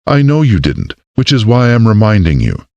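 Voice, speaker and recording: A man's voice: lowish voice, very animated, mellow, no echo, highs cut off, voice pushed up. Male reader doing a commanding woman mayor, clipped, dry booth sound. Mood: reassuring. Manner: brisk and commanding. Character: firm woman town mayor, no-nonsense.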